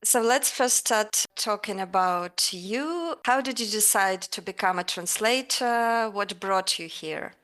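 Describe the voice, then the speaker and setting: Polite woman interviewer, mid pitch. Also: slow pace, few pauses, a bit bright. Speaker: podcast interviewer. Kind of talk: reading a guest introduction and asking questions.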